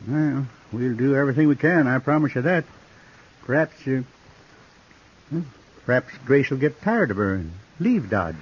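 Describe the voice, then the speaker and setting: A man's voice: medium pitch, animated, normal speed, pauses often, husky. An actor playing a worried, earnest townsman in a 1950s radio western, with an affable manner. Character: worried, well-to-do frontier townsman and guardian. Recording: dull 1950s radio recording.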